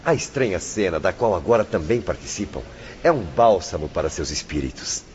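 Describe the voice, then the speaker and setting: An actor with a Brazilian accent playing a pious, courteous hospital attendant in a radio drama, speaking formally and preachily. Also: male voice, low-pitched, animated, a little fast, a little mellow. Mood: consoling, exhorting. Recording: dull lo-fi radio recording, faint noise.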